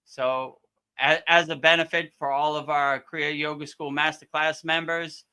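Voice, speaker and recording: A man with a strikingly bright, forward voice and a clean, smooth tone, pitched a little high. He talks informally as a teacher taking questions live, often circling back to restate what he has said. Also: little pitch movement, a little slow. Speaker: yoga teacher hosting a live Q&A. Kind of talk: casual live Q&A chat.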